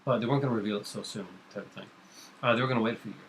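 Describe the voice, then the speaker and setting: Fast-talking middle-aged man, chatty vlog host. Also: on the low side, fairly bright tone, a little hiss or hum, rough recording. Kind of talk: casual chat about comics and horror films. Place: home kitchen.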